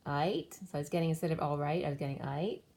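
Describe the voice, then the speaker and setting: A woman's voice: low-pitched, fast, vocal fry, dark tone. A woman giving a solo spiritual tarot reading, explaining cards and numbers one by one while losing her voice. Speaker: tarot reader.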